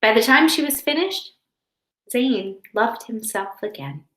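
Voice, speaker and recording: A woman with a fairly high voice speaks as a teacher to young children. She explains things carefully in simple words, as in a read-aloud session. The recording is clearly roomy, with echo around her voice. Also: animated, measured pace, a bit bright. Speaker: teacher reading a picture book to children. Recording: roomy, echoing indoor sound.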